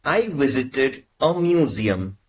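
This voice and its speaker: A man teaching as a language tutor, reading short prompts in slow, measured phrases. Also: medium pitch, very animated, a little hiss or hum. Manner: measured, carefully spaced phrases. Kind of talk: slow, deliberate lesson prompts.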